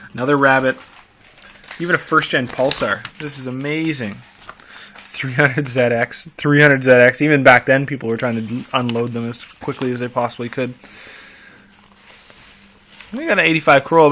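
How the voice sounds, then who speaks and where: A man's voice: medium pitch, bright, forceful tone. A man giving casual, off-the-cuff commentary like a car enthusiast, with wry, enthusiastic asides muttered to himself. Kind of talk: casual off-the-cuff commentary while browsing classified ads.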